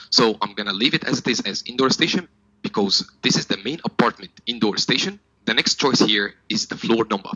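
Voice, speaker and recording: A man with a mid-pitched, crisp-edged bright voice walks through a product setup step by step. He sounds methodical and instructional, like a trainer at work. The sound is dry and close to echo-free, though slightly muffled and lo-fi. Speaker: technical trainer.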